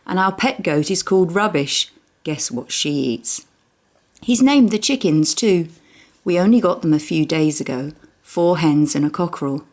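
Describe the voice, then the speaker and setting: A woman with a low-pitched voice narrates a children's story. She reads expressively, as to young listeners, and uses dramatic pauses for effect. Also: a little mellow.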